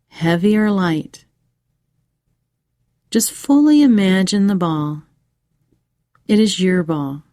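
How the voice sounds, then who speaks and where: A woman narrating a scripted guided meditation for children in a measured, soothing way with pauses. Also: fairly low pitch, dark tone, no room sound, studio quality.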